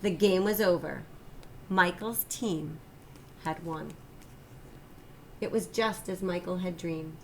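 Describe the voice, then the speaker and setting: A woman reading a children's story aloud like a teacher, expressive and deliberate, stressing the key phrases. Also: fairly low pitch, a little hiss or hum. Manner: expressive, deliberate, emphasizes and repeats key lines. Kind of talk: children's read-aloud narration.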